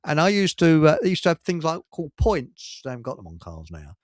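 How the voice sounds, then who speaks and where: An older man talks in a very animated, swooping voice, a little high for a man. He rambles jovially like a casual radio host, chuckling along, and the sound is close and dry. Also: fast talker, no room sound. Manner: jovial, chuckles through his stories. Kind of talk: casual chat and rambling anecdotes.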